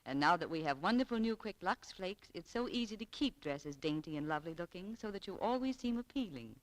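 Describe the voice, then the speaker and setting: A woman's voice: fairly low pitch, expressive, a little fast, rough recording. A woman announcer reading a scripted 1940s radio advertisement in a polished, persuasive way. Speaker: sponsor announcer.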